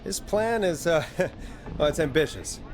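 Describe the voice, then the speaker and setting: A man voicing a wry, steady late-night radio host in a video game, with a dry, measured, reassuring manner. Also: fairly high pitch, some chatter behind, slight background noise. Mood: wry, casual. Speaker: radio talk show host.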